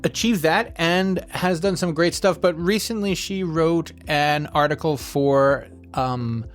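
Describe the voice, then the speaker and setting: A man's voice: fairly high pitch, slow, faint music behind. Conversational man hosting a podcast. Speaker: podcast host.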